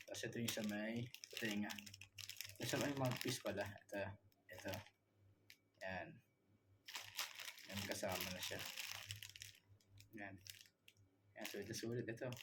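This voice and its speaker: A man with a Filipino accent giving a casual product demo as a vlogger, halting and repeating himself as he searches for words. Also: mid-range voice, very noisy.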